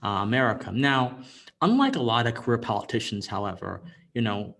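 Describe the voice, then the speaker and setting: A man's voice: medium pitch, very animated, a little fast, vocal fry, a bit bright. A man hosting a political interview podcast, mostly offering brief affirmations. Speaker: podcast host.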